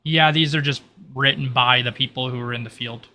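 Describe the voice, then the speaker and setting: A man's voice: medium pitch, fairly even intonation, fairly quick, pushed and bright, a little hiss or hum. A man casually explaining the rules and world of a tabletop game as its game master.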